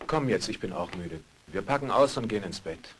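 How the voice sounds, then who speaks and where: A devoted young husband in a horror radio play. His voice sits fairly low and he speaks to his beloved with affection. There is faint noise on the recording. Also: expressive, a little fast. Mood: reassuring.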